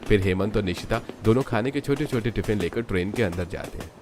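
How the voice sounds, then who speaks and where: A man narrating a scripted cartoon family story in a measured storytelling manner. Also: on the low side, music in the background.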